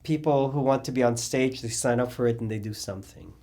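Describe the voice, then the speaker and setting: Middle-aged man, animated storyteller, lots of fillers, mid-range voice. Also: mid-range voice, fast. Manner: rambling, animated storytelling with many fillers and restarts. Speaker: interviewee, cabaret singer and drag performer. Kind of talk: oral history interview.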